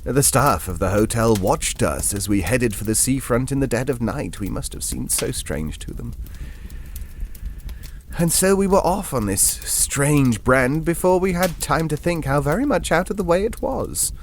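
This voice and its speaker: A medium-pitched actor playing a bookish gentleman telling a ghost story in an audio drama. He is earnest, wry and a little hesitant, with faint noise in the recording. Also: expressive, moderate pace, close to an everyday voice. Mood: reflective, uneasy. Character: earnest, bookish gentleman recounting an eerie tale.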